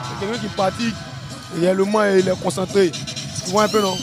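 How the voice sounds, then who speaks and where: Ivorian fight commentator guy, high voice, chatty n repetitive, loud crowd and music behind. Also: steady tone, a little fast. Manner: chatty, repeats phrases. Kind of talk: colloquial live commentary. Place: live street fight event.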